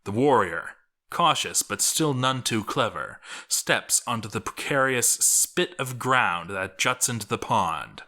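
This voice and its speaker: A man reading a scripted story as a dramatic narrator, theatrical and deliberate, with pauses for effect. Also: lowish voice, sharp tone.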